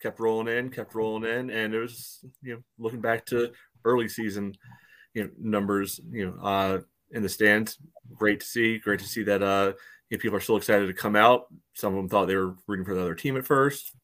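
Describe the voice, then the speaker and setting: A man's voice: lowish voice, fairly even intonation, a little fast, a bit bright. A man co-hosting a sports podcast, rambling casually with lots of fillers. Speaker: podcast co-host.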